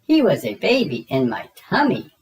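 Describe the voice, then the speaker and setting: Woman doing a gentle, patient mother pig. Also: sits low, wildly swooping pitch, normal speed. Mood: fond.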